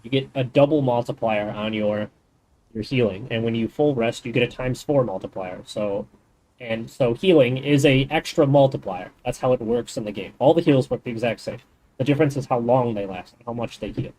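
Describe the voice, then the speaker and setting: Guy on a game stream, casual chatty voice, mid pitch, goes on explaining how the healing works. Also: fairly quick, mellow, slight background noise, rough recording. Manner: explains game mechanics in detail; rambling, thinks aloud. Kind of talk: casual gaming chat.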